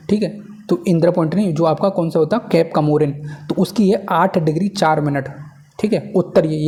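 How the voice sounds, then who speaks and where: A man's voice: a little high, mellow. A man teaches in an informal lecture style, repeating key points and often checking that listeners follow. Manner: repetitive, checking understanding with tag phrases. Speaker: teacher for exam preparation. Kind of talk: informal lecture explaining at a board.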